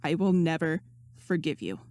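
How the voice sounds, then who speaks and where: A woman voicing an embarrassed, grumbling young man in a story, deadpan and sarcastic. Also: low voice, normal speed. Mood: wary.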